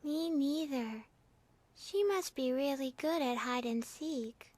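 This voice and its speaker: Slow, high little girl. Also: a little mellow. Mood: matter-of-fact. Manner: childlike, deliberate, simple phrasing. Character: small, matter-of-fact young girl, innocent and blunt. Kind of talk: video game cutscene acting.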